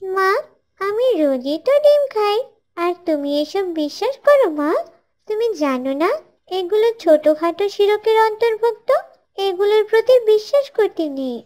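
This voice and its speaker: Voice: very high-pitched, expressive, moderate pace, a little mellow. A performer voicing a pious little schoolgirl in a cartoon, earnestly teaching others in a careful, preachy way. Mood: gently correcting. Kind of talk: scripted moral dialogue for an Islamic cartoon.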